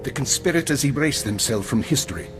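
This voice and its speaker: An actor playing a weary, regretful older intelligence minister in a video game, speaking in a measured, formal and authoritative way. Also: male voice, medium pitch, animated, natural voice. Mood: solemn, resolute. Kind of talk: scripted dramatic game acting. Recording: orchestral music behind.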